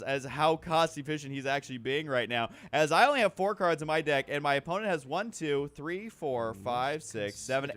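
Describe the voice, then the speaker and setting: A man with a somewhat high voice hosts a card game video. He commentates casually on his own play, rambling as he works out each move aloud. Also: a bit bright. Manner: rambling, thinking through moves aloud, counting. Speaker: gaming video host.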